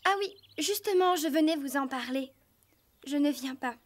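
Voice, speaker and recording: Woman as a high-voiced, earnest cartoon teenage girl. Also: normal speed, close to an everyday voice. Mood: cheerful, decided. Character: kind, earnest, encouraging teenage girl horse rider. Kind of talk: French cartoon dubbing.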